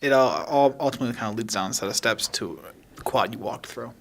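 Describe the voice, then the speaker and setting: Man narrating a tabletop game, halting and informal. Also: mid-range voice, expressive, a bit bright. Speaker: game master.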